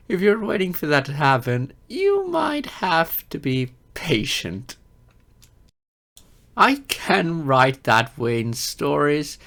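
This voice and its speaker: A man's voice: mid-range voice, fairly bright tone, exaggerated voice. A man playing an insecure, geeky adult silver fox in an audio roleplay, hesitant, stammering and self-deprecating. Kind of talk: ASMR-style audio roleplay acting.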